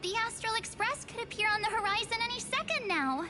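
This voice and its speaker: A woman's voice: very high-pitched, animated, brisk. A woman voicing a perky but anxious teenage girl adventurer in a video game, earnest, chatty and a little hesitant. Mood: frightened, upset. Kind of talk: English video game voice acting. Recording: background music.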